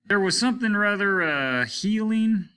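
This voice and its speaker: A domineering starship captain from a sci-fi storybook, acted out by a male reader. His voice is bright and forceful, pitched up and full of scorn. He issues orders and talks down to those around him. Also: lively intonation, unhurried, smooth, full character voice. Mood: scornful, impatient. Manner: loud, commanding, condescending. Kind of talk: dramatic audiobook reading.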